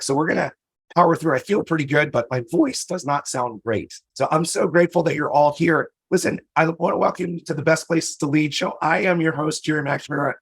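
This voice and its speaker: A man's voice: fairly high pitch, gravelly, slightly rough, dry recording. A man hosting a podcast, upbeat and motivational, talking straight to his listeners while losing his voice. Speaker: podcast host. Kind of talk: energetic show opening.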